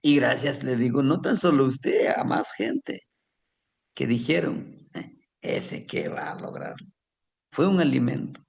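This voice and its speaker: A man from Mexico, a native Nahuatl speaker with a Mexican Spanish accent, telling a personal story to a class in a slow, reflective way. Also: mid-range voice, vocal fry. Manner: slow, reflective, warmly addresses listeners as family.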